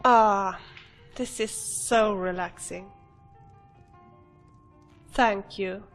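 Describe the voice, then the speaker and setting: Creaky, unhurried woman adventurer voiced by an actress. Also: medium pitch, fairly even intonation, faint music behind. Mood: affectionate. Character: affectionate, jealous female adventurer companion and lover. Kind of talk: fantasy game companion dialogue.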